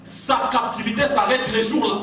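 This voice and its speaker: A man from Congo with a Congolese French accent, preaching an impassioned sermon to a rally. He shouts his refrains in repeated rhythmic cadences. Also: extremely high pitch, fairly even intonation, normal speed. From Congo (DRC). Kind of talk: fiery political sermon. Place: large echoing hall at a gathering. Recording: very echoey hall, far off, music behind. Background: music playing.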